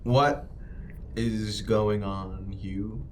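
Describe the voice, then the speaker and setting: A man's voice: fairly low pitch, very slow, darkish tone, a little hiss or hum. A man playing a tabletop roleplaying game with casual, joking banter.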